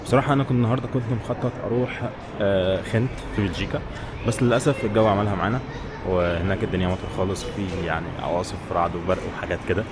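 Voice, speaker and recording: Very fast-talking Egyptian man vlogging outdoors. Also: low voice, steady tone. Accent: Egyptian Arabic. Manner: informal, addresses viewers directly. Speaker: travel vlogger. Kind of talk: casual vlog chat to camera. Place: outdoors in town streets. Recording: clear outdoor street and traffic noise.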